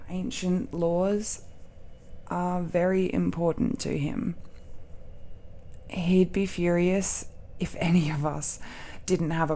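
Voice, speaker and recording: Very slow, playful dragon girlfriend, played by a woman. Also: on the low side, mellow, slight background noise. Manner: slow, playful, giggly, coy. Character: affectionate, teasing, boastful dragon-blooded girlfriend confiding secrets. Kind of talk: intimate romantic audio roleplay.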